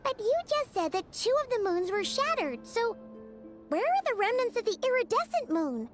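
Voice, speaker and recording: A tiny, childlike game fairy, with an extremely high squeaky voice. The delivery is animated and inquisitive, swinging widely in pitch. Music plays clearly behind. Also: female voice, moderate pace. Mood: puzzled, curious. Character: tiny, childlike, curious floating fairy companion.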